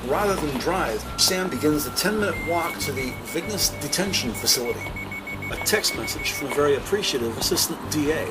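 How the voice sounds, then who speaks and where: Male narrator for a crime audio drama: slightly high register, scripted storytelling, prominent music underneath. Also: poor audio.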